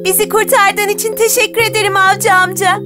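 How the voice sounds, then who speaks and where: An innocent little girl from a cartoon fairy tale. Her voice is extremely high and childlike, with a polite sing-song lilt, and music plays clearly behind it. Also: flat delivery, fairly quick. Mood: grateful.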